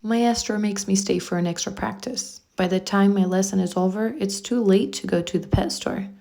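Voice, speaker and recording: A woman narrates a picture book for young children in an expressive read-aloud style. Her voice sits at a middle pitch with a noticeable creak, and she stretches important words for emphasis. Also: moderate pace.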